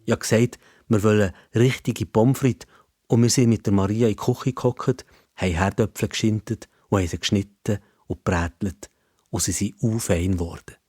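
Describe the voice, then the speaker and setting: A man's voice: fairly low pitch, fairly even intonation, moderate pace, dark tone, breathy edge, dry recording, studio quality, soft background music. A man with a Swiss German accent narrating a scripted story for children.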